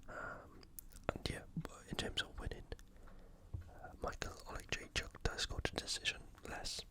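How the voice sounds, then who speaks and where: ASMR host. Breathy, unvoiced whisper throughout, unscripted and informal, thinking aloud through a sports preview. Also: moderate pace.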